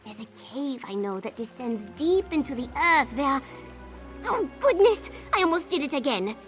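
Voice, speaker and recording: A woman voicing an excitable, scatterbrained traveller in a fantasy game, chatty and easily flustered. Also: high voice, normal speed, faint music behind. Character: excitable, scatterbrained female traveller who overshares. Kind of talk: scripted fantasy game dialogue.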